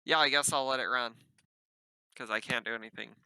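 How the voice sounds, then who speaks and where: Very bright, forceful male tabletop player. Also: mid-range voice, a little fast, clear, resonant tone, no echo, low-quality recording. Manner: blunt, sweary. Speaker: player in a tabletop roleplaying game. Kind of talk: casual game chat, partly in character.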